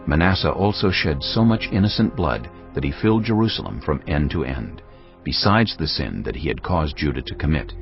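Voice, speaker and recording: Deep gravelly male narrator. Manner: measured, solemn storytelling. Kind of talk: scripted Bible narration. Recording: dull lo-fi audio over faint music.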